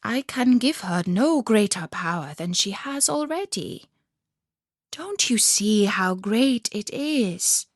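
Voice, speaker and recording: A woman's voice: medium pitch, no room sound, clean studio sound. A woman storyteller voicing a wise, frank northern woman from a fairy tale, speaking emphatically and earnestly.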